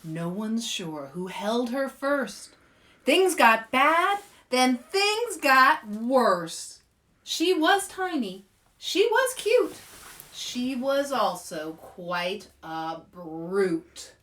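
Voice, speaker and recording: A woman hosting story-time for children, reading aloud in a playful, expressive way and chatting with young viewers. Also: on the high side, very slow, recorded from a distance. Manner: playful, expressive, asks listeners questions.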